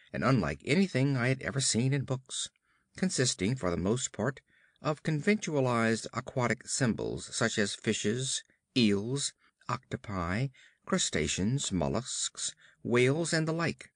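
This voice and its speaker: A man's voice: medium pitch, very animated, measured pace, no echo, clean studio sound. A man reading a horror story as an audiobook narrator, with formal, theatrical emphasis and dramatic delivery.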